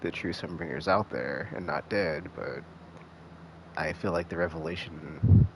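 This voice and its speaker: A man giving casual live commentary as a game streamer, rambling and halting, often restarting with "like". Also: deep voice, gravelly, slight background noise, poor audio. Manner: rambling, halting, heavy use of filler "like".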